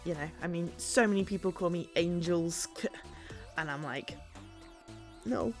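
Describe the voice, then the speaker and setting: A woman chatting as she streams a game, a bit hoarse from a sore throat, bubbly and quick to laugh. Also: on the low side, soft background music. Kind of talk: casual game-stream chat.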